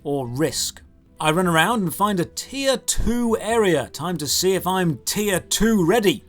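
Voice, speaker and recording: A man delivering a scripted comedic video game review in a sarcastic, mock-incredulous manner. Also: sits high.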